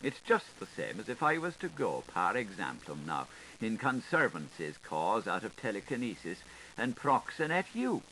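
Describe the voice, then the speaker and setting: Man as a sharp-tongued gossip, faint tape hiss. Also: fairly low pitch, wildly swooping pitch, normal speed, voice pushed down, heavily acted, rough recording. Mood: scornful. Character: knowing, sharp-tongued Irish washerwoman telling scandalous gossip. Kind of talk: dramatic literary audiobook reading. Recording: hissy 1985 tape recording.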